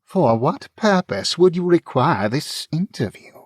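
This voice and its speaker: A haughty female mage played by a man speaks in a raised, animated voice. She is imperious and impatient, declaring her words like a ruler in a fantasy audiobook. The sound is dry. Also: fairly high pitch, measured pace, slightly rough, no echo, voice pushed up, exaggerated voice. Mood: irritated, curt. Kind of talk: fantasy audiobook character acting.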